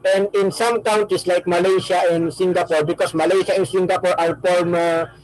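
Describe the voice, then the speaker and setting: A man hosting an interview, asking questions slowly and deliberately and repeating his phrases. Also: high-pitched, level tone, darkish tone, rough recording. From Philippines. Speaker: podcast host.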